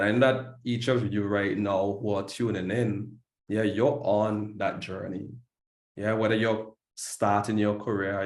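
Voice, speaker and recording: A man's voice: lowish voice, roomy sound. A man hosting an online summit who introduces a guest in a coaching pep-talk style, often saying "yeah". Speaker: summit host. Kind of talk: motivational event hosting.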